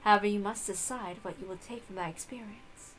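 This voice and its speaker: An aloof lady witch, as a woman reader plays her, soft and composed. Her phrasing is measured and formal, like a detached noblewoman in a visual novel. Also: mid-range voice, a little hiss or hum, pitched below the performer's own voice, natural voice, poor audio. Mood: aloof, calm.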